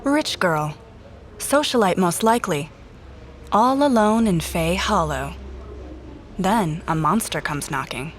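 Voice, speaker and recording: An actress playing a blunt, distrustful special agent in a noir audio drama, narrating and confronting in terse lines. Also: female voice, fairly low pitch, expressive, slow, long pauses, a little hiss or hum. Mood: sardonic. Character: hard-boiled, distrustful female special agent. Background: background music.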